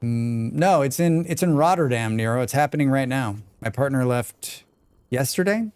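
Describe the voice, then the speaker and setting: A man's voice: mid-range voice, lively intonation, normal speed, a little mellow, clean tone, dry recording. A man with a plain American accent chatting casually on a live game stream, rambling and talking back to his chat. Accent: General American. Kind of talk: casual chat while gaming.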